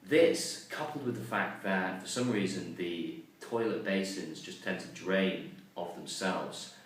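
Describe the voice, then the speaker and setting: A man's voice: low voice, measured pace. A man vlogging casually to the camera, chatty and self-mocking, with plenty of ums and uhs. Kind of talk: casual vlog talk to camera. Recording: very echoey, distant mic, faint noise.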